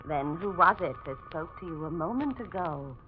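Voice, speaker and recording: An actress playing an uncanny, superstitious housekeeper in a 1940s radio mystery, solemn and insistent in old-fashioned phrasing. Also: female voice, on the low side, animated, normal speed, lightly acted. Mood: eerie, solemn. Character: uncanny, superstitious housekeeper who sees apparitions. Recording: muffled, noisy 1940s radio recording.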